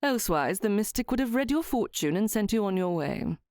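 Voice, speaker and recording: A woman voicing a cheerful, self-assured young viscount with a lower, slower, confident drawl. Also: animated, darkish tone, dry recording, clean studio sound, natural voice.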